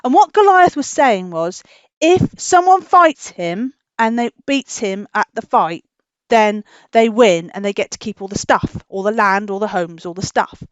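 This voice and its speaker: A woman hosting a children's storytime, telling a tale informally in simple, animated explanations. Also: mid-range voice, a little slow, dry recording, lo-fi sound. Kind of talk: informal storytelling to a puppet dog.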